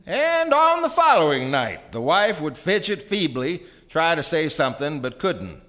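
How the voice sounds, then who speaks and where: A man narrates a scripted story as dramatic radio theatre. His voice is fairly high, with lively, wide movement in pitch. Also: measured pace. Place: theatre stage, live performance.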